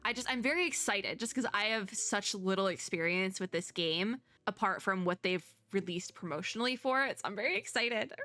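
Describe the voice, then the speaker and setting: A woman game video host chatting casually and excitedly, rambling as she thinks out loud. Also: mid-range voice, brisk, few pauses. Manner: excited, gushing. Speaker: host of a gaming reaction video. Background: music.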